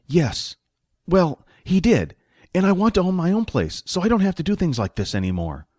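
A man's voice: high-pitched, big pitch swings, moderate pace, darkish tone, no echo, clean studio sound, voice pushed up. A man voicing a pragmatic, money-minded hired hand in a fantasy audiobook, explaining things in impatient colloquial talk.

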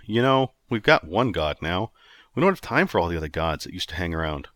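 An exasperated young guy with a dry, impatient, sarcastic way of talking, read by a man close to his own voice. The pitch swings a lot, and the sound is close and dry. It is an everyday storybook lead annoyed by his visitors. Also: big pitch swings, fairly quick, fairly bright tone, dry recording.

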